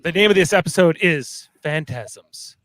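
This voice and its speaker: Fairly high-voiced man narrating radio play. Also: lively intonation, measured pace. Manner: informal, playful asides. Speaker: host and narrator. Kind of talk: casual narration of live radio-play script.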